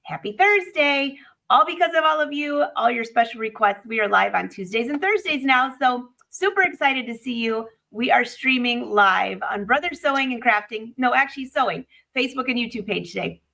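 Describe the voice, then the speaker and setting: A woman hosting a live sewing stream, bubbly and upbeat, her voice a little raspy today. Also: a little high, lively intonation, moderate pace, pushed and bright. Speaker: host.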